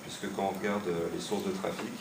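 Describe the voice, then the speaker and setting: A man's voice: sits low, level tone, brisk, vocal fry. A young man from western France explaining, hesitantly and with many fillers, how he built a website. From Maine-et-Loire, France. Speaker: website creator interviewed. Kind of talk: casual spoken explanation. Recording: echoey room, mic far off, noisy.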